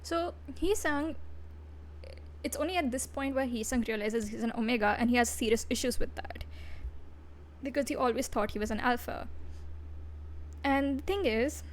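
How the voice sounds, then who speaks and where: High-voiced woman, blunt and profane. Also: normal speed, pauses often, a little hiss or hum. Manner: blunt, profane, with giggly asides. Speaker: VTuber reviewer. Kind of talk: casual livestream review.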